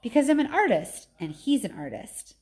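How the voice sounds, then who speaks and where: A woman reading a storybook as an excited, earnest young girl who pleads insistently with her dad. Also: sits high, moderate pace, voice pushed up. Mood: eager, pleading. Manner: emphatic, earnest, persuasive.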